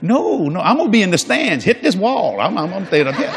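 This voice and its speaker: A man's voice: sits high, big pitch swings, a little fast, slight background noise. An older man preaching a sermon on a large church stage before a congregation, folksy and jokey, acting out quoted people in different voices.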